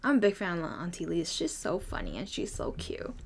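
A woman's voice: lowish voice, very animated, fairly quick, vocal fry, background noise. A young woman vlogging from her bedroom, chatty and bubbly, gushing as she reacts to clips. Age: young adult. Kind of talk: casual reaction commentary. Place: bedroom, indoors.

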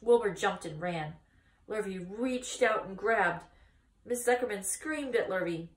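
A woman in her thirties reading a children's book aloud as expressive storytime narration. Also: medium pitch, a little slow. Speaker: storytime reader. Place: small indoor room, seated by a wall. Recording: echoey room, distant microphone.

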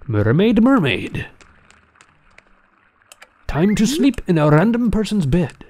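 A man's voice: high-pitched, very animated, slow pace, a little mellow. A man giving casual gameplay commentary as a video narrator, with a dryly joking manner. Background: game music behind the voice.

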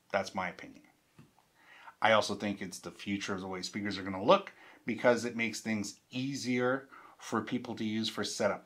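A man's voice: on the low side, fairly bright tone. A man in his fifties giving an informal, explanatory product talk in an emphatic, conversational, step-by-step way. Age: 50s. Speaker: product reviewer and presenter. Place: indoor studio room.

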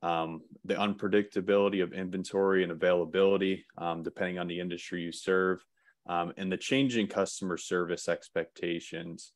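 A man presenting slides in an online webinar, relaxed, with many "um" fillers and restarts. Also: low voice, brisk, no room sound.

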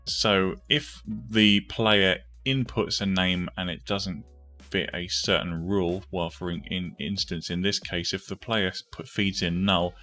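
Deep-voiced man narrating a coding tutorial. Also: fairly even intonation, unhurried, bright, forceful tone, soft background music. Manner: informal, thinking aloud while typing. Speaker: tutorial host.

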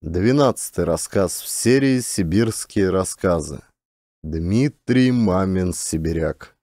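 A man narrating a scripted audiobook in a measured, expressive storytelling manner. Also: low-pitched, no room sound, studio quality.

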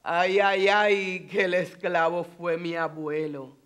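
Slow, dramatic Puerto Rican woman reciting poetry. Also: lowish voice, flat delivery, fairly bright tone. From Puerto Rico. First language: Spanish. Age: 40s. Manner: slow, emphatic, with deliberate pauses. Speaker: bilingual special education teacher.

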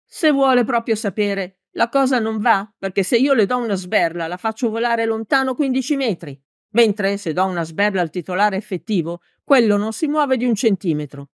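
A woman's voice: medium pitch, lively intonation, moderate pace, no echo, clean studio sound, pitched above the performer's own voice, lightly acted. A woman voicing a big, hot-tempered male village mayor in a storybook, blustering and clumsily formal with emphatic delivery. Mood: impatient.

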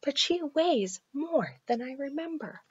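A woman voicing a stern, hungry village father in a children's story, authoritative and deliberate. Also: high-pitched, expressive, muffled recording, heavy background noise, voice pushed up. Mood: hushed, puzzled.

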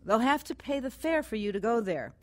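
An actress playing a brisk, unbending female social worker in a radio drama. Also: a little high, sing-song. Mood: firm, stern.

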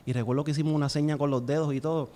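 A man's voice: on the high side, steady tone, racing, dark tone. A man in his thirties with a Puerto Rican accent tells his personal story as an interview guest, earnestly and fluently, close to a studio microphone. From Puerto Rico. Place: small indoor studio. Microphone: close studio microphone on a boom arm.

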